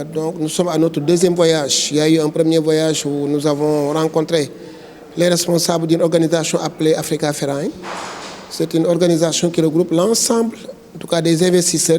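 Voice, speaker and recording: A man's voice: a little high, level tone, fairly quick, a little mellow, slight background noise. A man with a Senegalese accent giving a spoken statement to the press as spokesman for a network, leaning on repeated filler phrases. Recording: reverberant hall.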